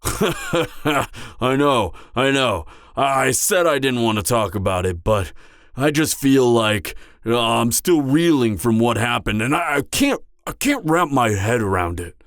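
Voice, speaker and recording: A man's voice: medium pitch, expressive, a little slow, fairly bright tone, dry recording, clean studio sound. A man playing an anxious, awkward, slightly drunk part-giant mercenary in an intimate fantasy audio roleplay, hesitant and stammering. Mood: troubled, conflicted. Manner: hesitant, stammering, trailing off, sighing.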